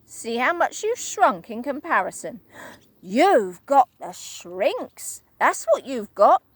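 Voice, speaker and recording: A woman voicing a nasty old man who taunts slowly and with relish. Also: fairly high pitch, very animated, husky, no room sound, exaggerated voice. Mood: taunting. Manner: slow, gloating and emphatic. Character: nasty gloating old man, cruel trickster.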